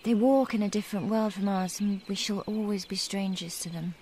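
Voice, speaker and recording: A woman's voice: mid-range voice, fairly quick, a little mellow, natural voice. Wistful young wife with noisy ambience behind woman acting. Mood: wistful, reflective. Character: wistful, earnest young married Englishwoman among convent nuns. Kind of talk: radio drama acting.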